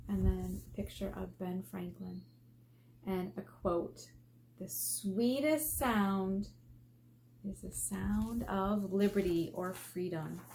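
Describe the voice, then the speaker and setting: Slow-paced woman teacher reading aloud. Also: mid-range voice, gravelly. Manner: expressive, asking the listeners questions, emphatic drawn-out key words. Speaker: elementary school teacher. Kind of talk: read-aloud lesson for children. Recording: faint background noise.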